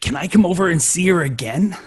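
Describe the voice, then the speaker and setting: A man's voice: high voice, animated, a little fast, breathy edge. A man playing an earnest, lovestruck nerdy young boy in a sitcom table read, eager and naive. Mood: smitten, eager.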